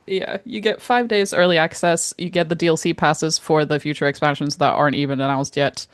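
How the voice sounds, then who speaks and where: A woman with a slightly low voice co-hosts a casual gaming podcast. She speaks informally and bluntly, with exasperated emphasis. The recording is very dry, free of room sound. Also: fairly even intonation, fairly quick, no echo. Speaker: podcast co-host.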